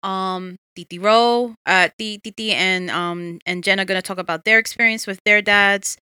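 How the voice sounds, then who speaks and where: A woman's voice: medium pitch, fairly even intonation, moderate pace, bright, forceful tone, smooth, no echo, clean studio sound. A woman co-hosting a podcast in casual chat, steering the discussion as she talks. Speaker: podcast co-host.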